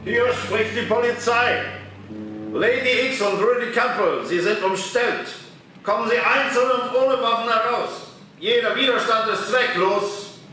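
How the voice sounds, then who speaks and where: An actor playing a commanding chief inspector who leads a police raid in a German audio drama. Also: male voice, very high voice, a little slow, pushed and bright, exaggerated voice. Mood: stern announcement. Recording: very echoey space, faint music.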